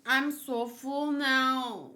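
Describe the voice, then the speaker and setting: A woman's voice: sits high, fairly even intonation, drawn-out words, pushed and bright. A woman chatting casually on a game livestream, thinking aloud as she plays. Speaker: game streamer. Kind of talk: casual livestream chat while playing a video game.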